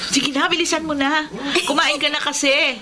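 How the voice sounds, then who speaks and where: Radio drama schoolgirl daughter, played by a woman: Filipino, sweet, hopeful, high-pitched, noisy sound. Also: flat delivery, moderate pace, close to an everyday voice. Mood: wistful.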